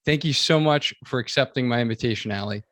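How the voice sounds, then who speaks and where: Guy hosting a podcast, casual and enthusiastic, lots of filler words, normal pitch, dry sound. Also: a bit bright, dry recording. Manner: halting, peppered with "like" and "you know". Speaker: podcast host. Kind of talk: casual interview chat.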